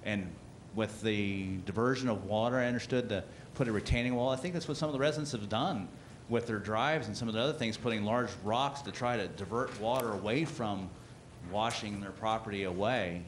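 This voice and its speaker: Low-pitched man, blunt questioning, faint rumble behind. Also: brisk, few pauses. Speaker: resident at public meeting. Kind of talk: public comment at a meeting.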